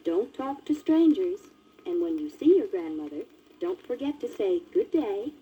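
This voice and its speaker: A man voicing a caring mother in a high falsetto, giving careful instructions on an old story record. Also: big pitch swings, moderate pace, warm tone, muffled recording, slight background noise. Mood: instructive. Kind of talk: 1948 children's story record.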